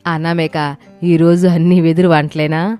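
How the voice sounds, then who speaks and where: A woman voicing a proud older mother-in-law in a family story, with a measured manner. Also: deep voice, little pitch movement, mellow, clear, resonant tone, no room sound. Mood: curious. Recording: background music under the voice.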